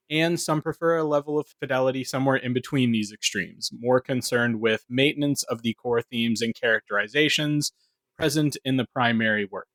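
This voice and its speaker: A man's voice: medium pitch, a bit bright, no echo. Man co-hosting a podcast, dry close sound. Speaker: podcast co-host and producer. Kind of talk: casual podcast discussion.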